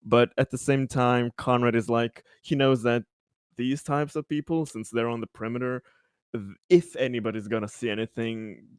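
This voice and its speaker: A man's voice: medium pitch, fairly even intonation, moderate pace, smooth, no echo, studio quality. A man playing a tabletop game, casually explaining what his character thinks and does.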